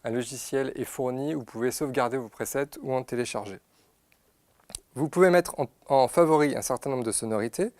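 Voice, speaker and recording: A man giving an unscripted, methodical product demonstration, pausing to find his words as he explains each step. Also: medium pitch, a little mellow, no echo. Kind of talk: unscripted instructional product demo. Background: synthesizer demo sounds.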